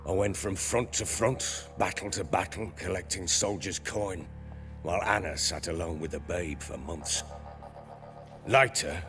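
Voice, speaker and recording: Gravelly, bitter old-soldier baron. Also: male voice, sits low. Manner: coarse, blustering, profane storytelling. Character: gruff, bitter, hot-tempered middle-aged soldier baron. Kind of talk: dramatic video game acting.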